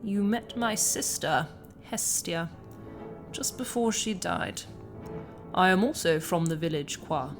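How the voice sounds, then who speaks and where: A woman's voice: lowish voice, lively intonation, slow. A woman playing a towering, stern alien healer in an audio drama, sardonic and bitter, with an imperious, blunt delivery. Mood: grave, probing. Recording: music behind the voice.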